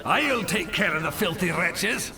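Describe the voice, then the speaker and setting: A man's voice: sits high, lively intonation, unhurried. A male actor playing a combative, blunt dwarf warrior full of scorn in a fantasy game. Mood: scornful.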